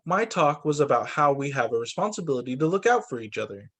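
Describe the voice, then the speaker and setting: Animated man reading a storybook aloud. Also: a little high, quick pace, breathy edge. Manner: animated, acts out dialogue for children. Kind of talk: expressive children's read-aloud narration.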